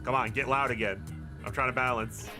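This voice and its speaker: A man's voice: mid-range voice, fairly even intonation, fairly quick, over music. A man hosting a game livestream, chatting casually in a rambling, joking, sweary way. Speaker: game streamer, host.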